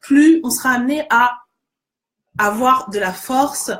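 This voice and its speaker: A woman's voice: a little high, measured pace, a bit bright. A woman hosting a casual conversation, agreeing enthusiastically and explaining with many fillers. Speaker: host.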